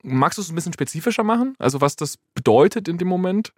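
A man's voice: high-pitched, expressive, quick pace. A man explaining things casually as an expert guest on a podcast, thinking aloud as he gives hands-on advice.

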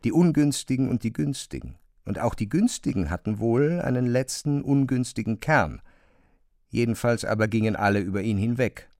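A man's voice: fairly low pitch, a little mellow, no echo, studio quality. A man narrating a literary radio drama as a scripted storyteller.

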